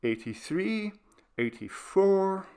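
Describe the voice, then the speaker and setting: A curious, stubborn woman voiced by a male audiobook narrator reasons with herself in a ghost story. The voice has a gravelly fry, and the manner is firm and self-persuading. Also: high voice, big pitch swings, takes it slowly, a little mellow, voice pushed up, full character voice. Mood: counting, uneasy. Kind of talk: audiobook character dialogue.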